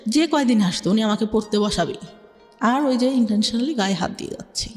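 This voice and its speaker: A young woman with a Bangladeshi Bengali accent tells her life story to an interviewer, speaking softly and hesitantly with many filler words. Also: fairly high pitch, animated, fairly quick, big-room sound, soft background music. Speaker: interview guest. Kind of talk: personal life story in conversation.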